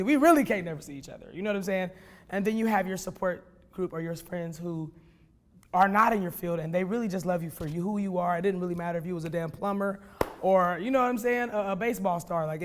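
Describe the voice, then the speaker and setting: A woman from Oakland, a jazz artist talking in a casual interview, blunt and playful, full of slang. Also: medium pitch. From Oakland, California.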